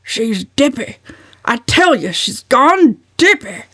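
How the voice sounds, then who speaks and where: A woman's voice: a little high, big pitch swings, slow, bright, forceful tone, husky, no echo, studio quality, voice pushed up, heavily acted. Woman playing a blustering, snorting old uncle. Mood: angry. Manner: snorting, indignant, emphatic.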